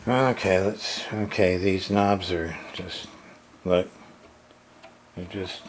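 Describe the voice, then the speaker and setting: A man's voice: low-pitched, steady tone, takes it slowly. A man giving casual hands-on unboxing commentary, muttering and grumbling to himself with some mild swearing. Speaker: hobbyist radio repairer presenting an unboxing. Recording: noisy home recording with handling clicks.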